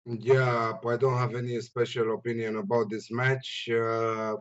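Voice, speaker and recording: A man's voice: medium pitch, little pitch movement, a little slow. A man giving casual, opinionated betting analysis in non-native English, emphatic and prone to repeating himself. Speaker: sports betting pundit. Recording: slightly dull lo-fi audio.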